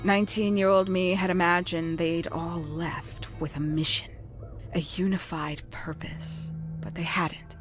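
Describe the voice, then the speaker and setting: A woman voicing a composed, calculating young woman in a video game, speaking in a measured, formal, theatrical way as she confesses. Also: lowish voice, flat delivery, soft background music, natural voice. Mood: wistful, bitter. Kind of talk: dramatic scripted confession monologue.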